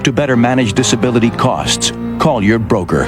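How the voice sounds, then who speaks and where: A man's voice: medium pitch, animated, brisk. A man reading a scripted advertisement as a commercial announcer. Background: loud music.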